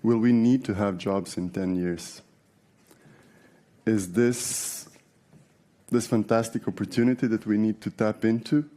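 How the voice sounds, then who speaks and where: A man speaks from a conference stage in a low, mellow voice. He talks in an easygoing, conversational way, pausing on fillers and restarting phrases as he goes. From Serbia. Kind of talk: conference keynote talk. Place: large conference hall.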